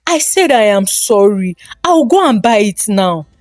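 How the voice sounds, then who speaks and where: A woman's voice: medium pitch, big pitch swings, measured pace, no room sound. Woman acting a weary henpecked Nigerian husband, dry recording. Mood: apologetic. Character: weary henpecked husband, meek adult working man.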